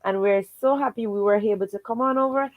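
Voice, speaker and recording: A woman's voice: medium pitch, fast, phone-quality sound. A woman hosting a children's read-aloud livestream, encouraging and fond of repeating stock welcome phrases. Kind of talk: livestream hosting, greeting viewers and recapping stories.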